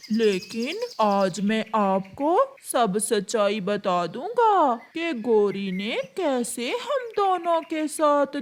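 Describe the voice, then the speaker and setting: महिला की आवाज़ में बहुत धीमा बोलता आशिक़ कौवा. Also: female voice, fairly high pitch, slight background noise, exaggerated voice. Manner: slow, pleading, melodramatic declarations. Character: lovestruck scheming male crow wooing a bird. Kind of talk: Hindi cartoon storybook acting.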